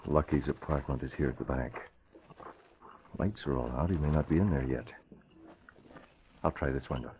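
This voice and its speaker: An actor playing an assured newspaperman who is secretly a masked crimefighter, with crisp, commanding delivery in a vintage radio drama. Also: a bass voice, normal speed, pauses often. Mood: hushed, purposeful. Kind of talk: 1940s radio acting. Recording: muffled, noisy old radio recording with clicks.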